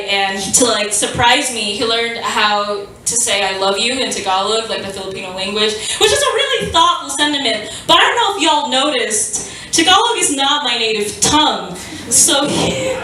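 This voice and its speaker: A young woman doing stand-up in a small venue, her high, bright voice swinging around a lot as she rambles with self-deprecating asides. The mic sits a bit away from her, and the room rings with heavy echo. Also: a little hiss or hum. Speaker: stand-up comedian. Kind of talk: stand-up comedy set. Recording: echoey room, mic from a distance.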